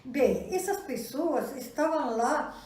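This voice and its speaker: An older woman from Argentina, a professor speaking Portuguese with an Argentine Spanish accent, giving a talk with hesitant pauses and many fillers. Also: high-pitched, lively intonation, darkish tone. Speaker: guest speaker, university professor. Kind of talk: event talk. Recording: echoey room, distant mic.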